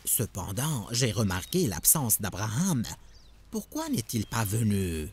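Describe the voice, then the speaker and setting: A man playing a suspicious, pondering townsman in a religious cartoon, deliberate in his delivery. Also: fairly low pitch, wildly swooping pitch, darkish tone, slightly rough, exaggerated voice. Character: suspicious, pondering townsman gossiping about a dissenter. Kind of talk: dubbed religious cartoon acting.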